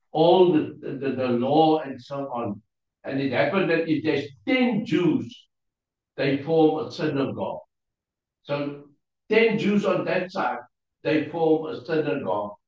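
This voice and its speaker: A man with an Afrikaans accent, whose first language is Afrikaans, teaching a Bible class in a halting way, often pausing to search for English words. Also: mid-range voice. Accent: South African Afrikaans. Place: church hall. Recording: distant, roomy, dull lo-fi sound.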